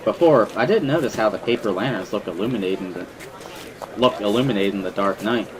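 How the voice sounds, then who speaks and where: A man's voice: lowish voice, fairly quick, a crowd in the background, poor audio. A man reading a visual novel as first-person dramatic narration, in an earnest, brooding storytelling manner. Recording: music behind the voice.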